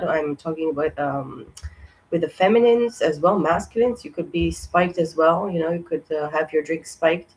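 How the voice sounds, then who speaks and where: A woman reads tarot cards in a loose, casual way, her voice hoarse and strained as it fades. She speaks on a low, flat pitch that barely rises or falls. She stops and restarts often and fills the gaps with "uh" and "um.". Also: rough recording. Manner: halting, many fillers and restarts. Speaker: tarot reader. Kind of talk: casual spoken tarot reading.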